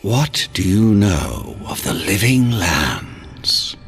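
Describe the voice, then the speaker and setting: An actor playing a solemn, commanding emperor in a game cinematic, speaking in a stately, portentous manner. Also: male voice, low-pitched, big pitch swings, extremely slow, breathy edge, exaggerated voice. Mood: ominous. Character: solemn, commanding male emperor dispatching his envoy. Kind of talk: dramatic game cinematic monologue. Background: cinematic music.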